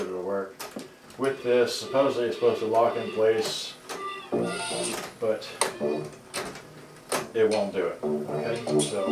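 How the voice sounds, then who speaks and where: A man giving a casual, unscripted demonstration, talking himself through it step by step in a halting way. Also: fairly low pitch. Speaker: repairer demonstrating serviced cassette players. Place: indoors in a house. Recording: roomy, distant mic, loud music behind.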